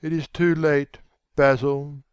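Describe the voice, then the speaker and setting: Male reader as despairing young dandy from a classic novel; smooth, higher-set voice, theatrical and bitter, dry sound. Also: expressive, measured pace, no room sound, dull audio. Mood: hopeless. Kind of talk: dramatised classic novel reading.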